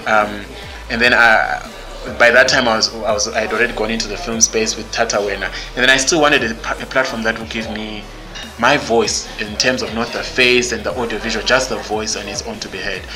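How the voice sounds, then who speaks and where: Blunt low-voiced Zimbabwean man. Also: fairly even intonation, brisk, music in the background, rough recording. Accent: Zimbabwean English. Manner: blunt, outspoken; many fillers and restarts. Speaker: podcast guest. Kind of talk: candid interview conversation.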